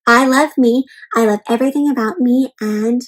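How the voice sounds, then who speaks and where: Little girl played by a woman reader, high voice, earnest, self-assured, deliberate, storybook character. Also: lightly acted. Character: little girl affirming herself, earnest, growing in confidence.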